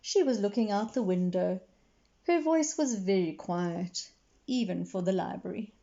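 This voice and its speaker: A woman reading a children's story expressively, pausing to explain and put questions to young listeners. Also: mid-range voice, lively intonation, mellow, lo-fi sound. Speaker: read-aloud storyteller for children.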